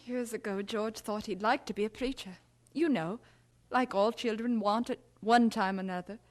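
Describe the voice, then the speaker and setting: A woman playing a concerned, mildly bewildered mother of a grown son in an audio drama, speaking in a measured, resigned way. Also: fairly high pitch, natural voice. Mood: resigned puzzlement.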